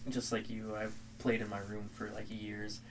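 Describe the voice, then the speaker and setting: A man from a band sharing his experience in a steady, reflective way during an interview. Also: on the low side, normal speed, gravelly. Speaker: band member. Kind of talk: casual interview answers. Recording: distant mic with background noise.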